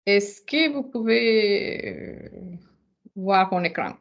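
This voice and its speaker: A woman's voice: mid-range voice, animated, takes it slowly, lo-fi sound. A non-native French-speaking woman with a foreign accent presenting a webinar informally, searching for words and often repeating them. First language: not French.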